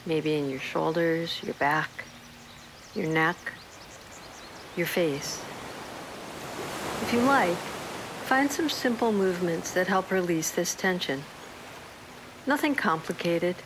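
Soft-voiced woman guiding a meditation. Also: fairly low pitch, animated, slight background noise. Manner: slow, soothing, gentle pauses. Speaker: meditation guide. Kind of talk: guided meditation narration.

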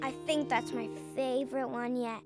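Small cartoon animal boy, tiny high voice, children's show dialogue, loud music. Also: level tone, normal speed. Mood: gentle. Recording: music behind the voice.

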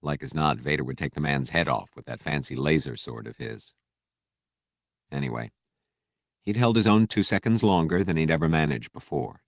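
A man's voice: extremely low pitch, animated, normal speed, gravelly, dry recording. A man narrating a novel as a scripted, dramatic audiobook reading. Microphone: close studio microphone.

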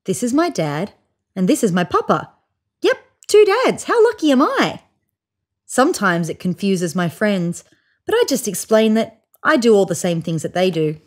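A woman in her thirties reads a children's picture book aloud. Her mid-pitched voice swings widely in tone, with expressive storytelling aimed at young listeners. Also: moderate pace. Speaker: storybook reader. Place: small room indoors, seated on a couch.

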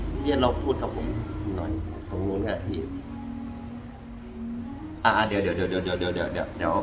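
Low-voiced man over clear background noise. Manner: informal, demonstrating step by step, repeats himself. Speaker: product reviewer. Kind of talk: casual hands-on gadget review. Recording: clearly noisy ambient background.

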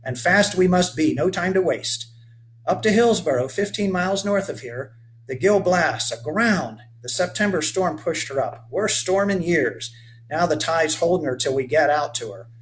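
A man's voice: a little high, lively intonation, moderate pace, slight background noise, voice pushed up, close to an everyday voice, poor audio. A man doing a hearty old frontier boatman. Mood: urgent, persuasive. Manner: folksy old-fashioned speech, calls the boy lad and son. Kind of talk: voiced character in a read-aloud story.

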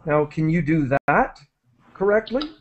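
A middle-aged man with a high-pitched voice runs a live online English lesson from a small room, talking through a headset. He explains things in a friendly way, full of fillers and restarts. The sound is dull and lo-fi, like video call audio. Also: very animated, a little slow. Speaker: English teacher hosting an online class.

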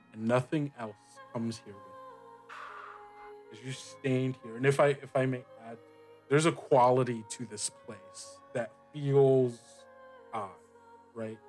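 A man's voice: medium pitch, darkish tone. Man running a tabletop game over music. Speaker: game master. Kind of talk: improvised tabletop game narration and casual table talk.

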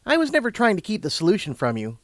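A man voicing a calm, wise unicorn mare, a sympathetic mentor with a patient, knowing manner. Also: sits high, fast talker, no echo, clean studio sound, voice pushed up.

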